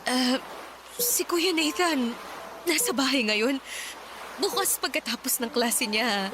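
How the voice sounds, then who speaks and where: A woman's voice: high-pitched, animated, takes it slowly, background noise. A woman playing a devoted, anguished mother in a Filipino radio drama, tearful, pleading and tender. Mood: tender, emotional.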